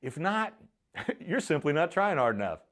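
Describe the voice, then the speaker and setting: A man's voice: a little high, sing-song, normal speed, fairly bright tone. A middle-aged man giving a motivational monologue as a leadership coach, speaking in a punchy, emphatic, challenging way. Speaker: leadership coach and show host.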